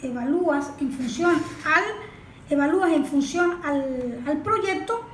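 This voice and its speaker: A woman's voice: sits high, expressive, unhurried. A Venezuelan woman explaining things as an expert guest in an interview, often posing questions and answering them herself. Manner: didactic, asks and answers own questions. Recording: echoey room with faint noise.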